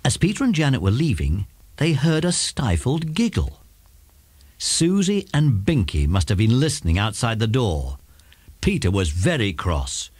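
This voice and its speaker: Male narrator, children's audiobook: highly varied intonation, slightly grainy, close dry recording. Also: sing-song, unhurried, a little mellow, husky, dry recording. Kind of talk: scripted children's story narration.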